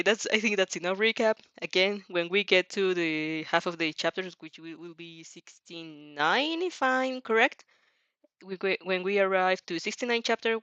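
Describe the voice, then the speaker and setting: A woman's voice: lowish voice, fairly bright tone, smooth, dry recording. Giggly woman reading aloud, dull dry sound. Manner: giggly, rambling, informal. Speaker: audio fan fiction reader and reactor. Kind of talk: casual reaction chat and expressive story read-aloud.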